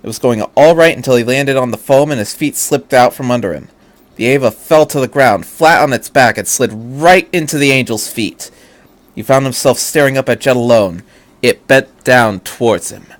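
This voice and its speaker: A man's voice: mid-range voice, moderate pace. A man reading fan fiction aloud as a narrator, with an earnest, dramatic delivery.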